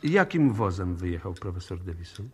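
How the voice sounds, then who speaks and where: A low, husky police inspector. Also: male voice, very animated, normal speed, darkish tone, full character voice. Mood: probing. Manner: formal, courteous, probing. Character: composed, methodical male police inspector questioning witnesses. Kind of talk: 1960s Polish radio drama acting.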